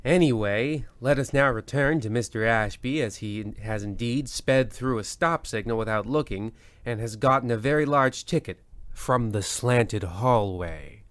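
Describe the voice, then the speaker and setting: An actor playing a rambling, wry narrator of an old-time radio comedy who keeps getting sidetracked. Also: male voice, mid-range voice, unhurried, a bit bright, no echo, close to an everyday voice. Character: rambling, folksy male radio-play narrator.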